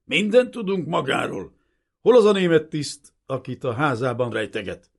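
A man's voice: on the high side, sing-song, vocal fry, pitched above the performer's own voice, heavily acted. A man voicing a cruel, sneering young Soviet lieutenant who snarls and barks with contempt in a storybook reading. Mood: furious, accusing.

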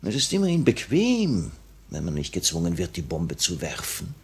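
A very deep, heavily husky male voice from a mid-century radio play. He is a brooding revolutionary leader, restless and intense, confiding in theatrical phrases. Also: huge pitch swings, moderate pace, full character voice. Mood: troubled, conflicted. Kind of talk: 1950s German radio drama acting. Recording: old 1950 radio play recording.

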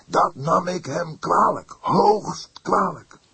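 A man's voice: high voice, takes it slowly, a bit bright, husky, no echo, pitched above the performer's own voice, heavily acted. A man voicing an indignant, solemn father of the church in an audiobook, speaking with emphatic, formal deliberation. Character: fierce, pained elderly pastor, indignant and solemn. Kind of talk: audiobook character voice.

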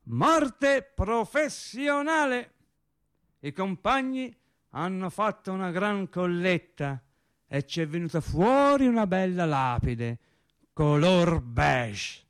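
A naive working man in a radio play, resigned yet tender. His pitch sits fairly high and swings widely as he tells his tale slowly. The delivery is colloquial and halting, with dry wit. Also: animated, clean tone, no echo. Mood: agitated, bitter. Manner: colloquial, halting, wry storytelling. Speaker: stage and radio actor. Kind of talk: theatrical radio drama monologue.

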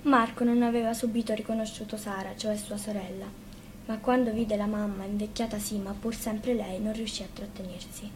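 A woman narrates a children's fairy tale from a script. Her pitch sits in the middle range and her delivery is expressive. A faint layer of noise runs under the voice. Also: moderate pace. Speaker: storyteller reading own fairy tale. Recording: clearly noisy live radio studio recording.

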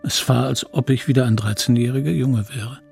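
A middle-aged man , played by an actor looks back wistfully in a radio drama. His voice is middling in pitch and gravelly, his storytelling measured and sombre, with faint music underneath. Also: darkish tone, close to an everyday voice. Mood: reflective. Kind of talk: radio drama first-person narration.

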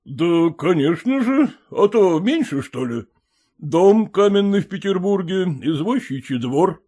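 A smug older man lectures from his armchair, pompous and sure of his due, as a male narrator puts him on. His storybook voice rises and falls with a lively swing. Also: sits high, measured pace, voice pushed up, heavily acted. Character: older man, haughty hanger-on, lounging.

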